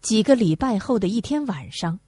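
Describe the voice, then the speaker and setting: A woman's voice: mid-range voice, lively intonation, normal speed, mellow, slightly rough, no room sound. A woman reading a novel aloud as a scripted audiobook narration.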